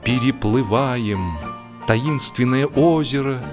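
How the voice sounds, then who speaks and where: A male audiobook narrator delivers humorous prose in a studio, with music behind him. His medium-pitched voice is theatrical and mock-lofty. He phrases expressively and leaves deliberate pauses. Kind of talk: dramatic humorous literary narration.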